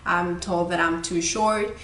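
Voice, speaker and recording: A woman's voice: fairly low pitch, steady tone, takes it slowly, fairly bright tone, roomy sound, a little hiss or hum. A woman in her twenties from El Salvador, speaking candidly and earnestly to the audience about her own experiences, with many fillers. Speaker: model sharing her story. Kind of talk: personal talk to camera.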